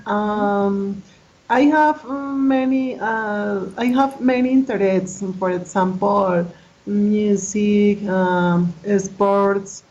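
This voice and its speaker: Slow, hesitant woman learner, muffled audio. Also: medium pitch, darkish tone. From Colombia. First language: Spanish. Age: 30s. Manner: hesitant, halting English with many fillers. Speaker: student. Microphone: video call.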